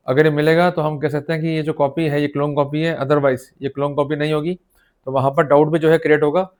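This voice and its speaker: Higher-pitched Indian man giving a lecture. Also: a little mellow. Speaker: law lecturer. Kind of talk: explanatory legal lecture mixing Hindi and English.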